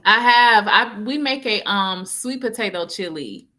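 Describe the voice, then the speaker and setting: A woman's voice: mid-range voice, animated, unhurried, sharp tone. A woman guest who runs a nutrition organization, chatting casually in an agreeable, supportive manner. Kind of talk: casual conversational chat.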